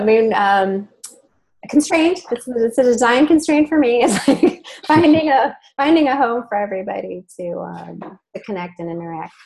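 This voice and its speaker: A woman's voice: on the high side, gravelly, a little mellow, low-quality recording. A woman hosting a webcast over a video call, chatty and informal, with frequent ums and self-corrections. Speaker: webcast host. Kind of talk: casual group discussion.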